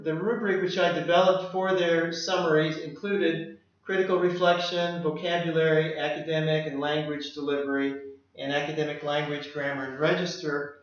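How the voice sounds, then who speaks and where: A middle-aged man, a language lecturer, walking through slides of his work in a measured way, often pausing on "uh". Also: a little high. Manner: measured, with frequent "uh" fillers. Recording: echoey room, dull lo-fi sound.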